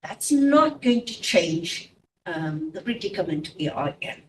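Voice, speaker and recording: A woman speaking as a seminar panelist, measured and emphatic, with "um" fillers. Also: lowish voice, sing-song. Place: conference hall. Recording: echoey room from far off, faint noise.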